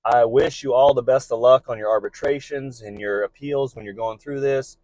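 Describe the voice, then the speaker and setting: A man with a medium-pitched voice talks informally, like a driver advising his peers in a vlog. He is earnest and encouraging, often restating his points. The sound is dry, with very little room in it. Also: steady tone, normal speed, dark tone, no room sound, poor audio. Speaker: delivery driver giving advice to peers. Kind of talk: informal vlog advice monologue.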